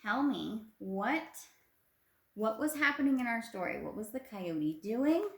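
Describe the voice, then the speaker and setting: A woman's voice: on the high side, lively intonation, measured pace. A young woman teacher leading a children's read-aloud lesson, encouraging and prompting with simple questions, recorded on a phone. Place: small indoor room at home. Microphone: phone at arm's length. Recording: roomy, echoey phone recording.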